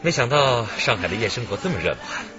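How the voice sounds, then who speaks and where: A man's voice: medium pitch, sing-song, fast, natural voice. An actor playing an ingratiating, falsely genial businessman conman in a radio drama. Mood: genial, suave. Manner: chuckling, ingratiating. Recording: muffled, music behind.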